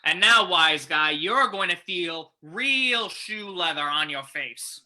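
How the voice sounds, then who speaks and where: A man's voice: high voice, lively intonation, slow pace, sharp tone, exaggerated voice. A man playing a cruel, taunting crook in an old-time radio drama. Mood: taunting, menacing. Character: sneering male crook, a cruel bully in a radio play.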